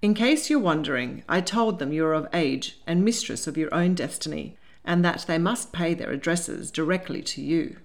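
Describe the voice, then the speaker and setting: A woman voicing a hearty, affectionate older gentleman lord in a storybook, jovial and teasing. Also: lowish voice, moderate pace, hardly any pauses, natural voice. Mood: amused.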